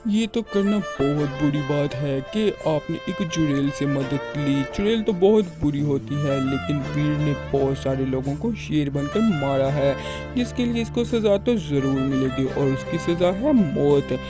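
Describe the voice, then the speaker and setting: A man's voice: a little high, animated, measured pace, few pauses. A man voicing a stern village headman in a cartoon story. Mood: stern, reproving. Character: stern, rustic village headman passing judgment. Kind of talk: Hindi cartoon story dialogue. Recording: loud music behind the voice.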